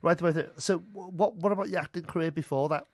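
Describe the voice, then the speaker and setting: A man's voice: on the high side, fairly even intonation, quick pace, no room sound, studio quality. A middle-aged man from Merseyside with a light Scouse accent, presenting a radio show and chatting to a guest with plenty of fillers. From Merseyside, England. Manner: chatty, warm upbeat delivery with many fillers. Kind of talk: radio interview chat. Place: radio studio. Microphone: studio mic, headphones.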